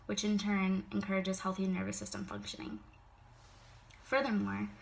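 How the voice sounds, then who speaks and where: A woman's voice: medium pitch, gravelly, low-quality recording. A young woman giving a prepared class speech, a little sick so her voice sounds slightly off, mostly recited with occasional casual fillers.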